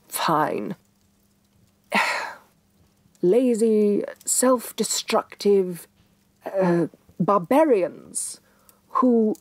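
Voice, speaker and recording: A woman playing a domineering, vain evil sorceress queen in a soft-spoken ASMR fantasy roleplay, imperious and sardonic, with breathy condescension. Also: mid-range voice, no echo, exaggerated voice. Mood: exasperated, scornful. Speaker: voice actress.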